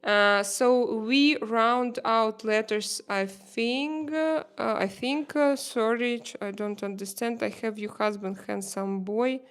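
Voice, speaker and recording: A woman from Russia with a Russian accent, hosting a casual livestream chat, talking haltingly with many fillers and frequent laughter. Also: on the high side, steady tone, clear, resonant tone. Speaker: livestream host.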